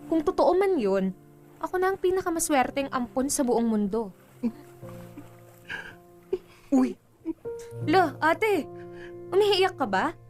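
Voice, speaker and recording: High-voiced, frank young Filipino woman acted out by a woman. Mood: surprised, teasing. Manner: candid, teasing, reassuring. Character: frank, cheerful 21-year-old daughter seeking the truth. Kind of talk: conversational radio drama acting. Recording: music bed under the dialogue.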